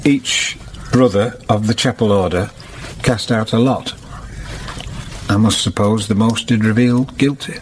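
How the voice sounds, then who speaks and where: An actor playing a solemn, wounded middle-aged former hermit, speaking gravely and reflectively in a period radio drama. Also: male voice, on the low side, unhurried, long pauses, slight background noise, close to an everyday voice, low-quality recording. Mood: aggrieved. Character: solemn, wounded older weaver, once a hermit.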